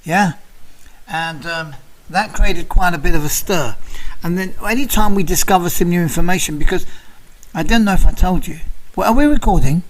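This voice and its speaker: High-voiced man in his sixties. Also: moderate pace, poor audio. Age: 60s. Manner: talks over others, repeats phrases, addresses host by name. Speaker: guest. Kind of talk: casual interview chat.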